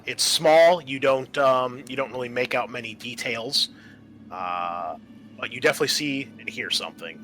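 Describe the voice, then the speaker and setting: A man working as game master, narrating a tabletop horror game in a halting, explanatory way. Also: on the low side, pushed and bright, slight background noise.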